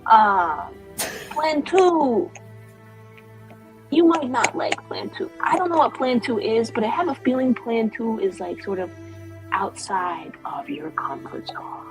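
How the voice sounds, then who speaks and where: A woman's voice: a little high, lively intonation, takes it slowly, music in the background, poor audio. A woman playing a sassy, flippant rogue in improvised tabletop roleplay, rambling and teasing in slangy talk. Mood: sly, teasing.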